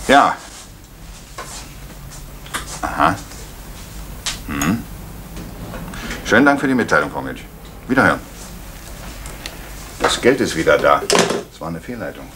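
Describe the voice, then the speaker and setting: A deep, calm, probing police inspector in an old radio play with tape hiss. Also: male voice, measured pace, pauses often, full character voice. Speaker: police detective Fuchs. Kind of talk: 1980s East German radio crime drama.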